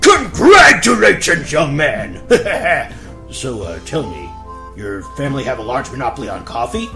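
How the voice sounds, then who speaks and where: A man's voice: medium pitch, very animated, a little slow, music in the background. A man voicing a jovial, doting middle-aged king in a theatrical cartoon parody. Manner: effusive, theatrical. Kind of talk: cartoon gag-dub parody.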